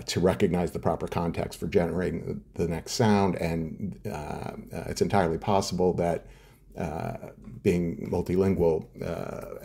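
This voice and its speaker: A man's voice: low voice, gravelly, mellow, breathy edge. A middle-aged man answering interview questions as a scientist guest, explaining step by step with many hesitant fillers and restarts. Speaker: neuroscientist guest.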